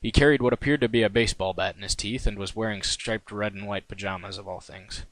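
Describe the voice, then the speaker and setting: A man narrates a first-person comic story in a fairly low voice. His delivery is wry and conversational, like an amateur author reading his own work. The recording is close and dry. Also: fairly even intonation, fast talker, a bit bright, dry recording, rough recording. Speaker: reader of own fan fiction.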